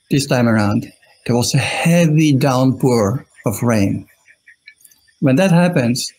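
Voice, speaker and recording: A man's voice: medium pitch, a little mellow, low-quality recording. A middle-aged man with an Austrian accent, a monk giving a friendly dharma talk over a video call, joking and asking his online audience questions. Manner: jokey, chuckling asides, talks to the online audience. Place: small indoor room.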